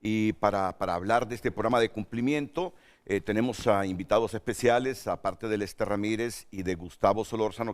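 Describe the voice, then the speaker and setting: A man's voice: fairly low pitch, big-room sound. A man hosting a studio panel talk show, moderating guests in a joking, teasing way. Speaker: host.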